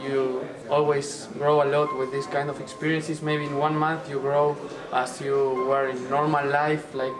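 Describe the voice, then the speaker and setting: Monotone man, distant, with music behind. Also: on the high side, a little slow, hardly any pauses. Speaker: violinist, interviewee. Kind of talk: casual interview.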